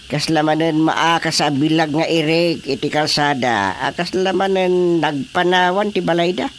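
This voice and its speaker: A man playing an excitable village schemer in a radio drama comedy. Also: on the high side, moderate pace, slight background noise, natural voice.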